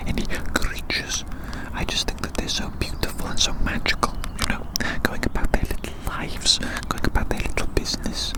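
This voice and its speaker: An ASMR performer delivers a rambling roleplay monologue entirely in a breathy, unvoiced whisper. Phrases come back again and again, often closed with a gentle tag question. The wording is rather formal. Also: poor audio.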